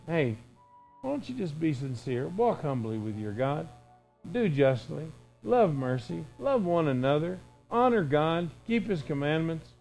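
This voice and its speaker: A man's voice: a little high, darkish tone. A man in his sixties preaching an informal, conversational sermon in a folksy way, with emphatic repetition and imagined dialogue. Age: 60s.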